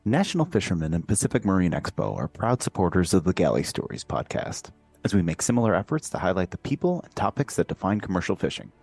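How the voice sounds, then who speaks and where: Announcer: deep man, quick read, soft music under it. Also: huge pitch swings, gravelly, breathy edge. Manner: brisk scripted delivery. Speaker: sponsor announcer. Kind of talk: read sponsor spot.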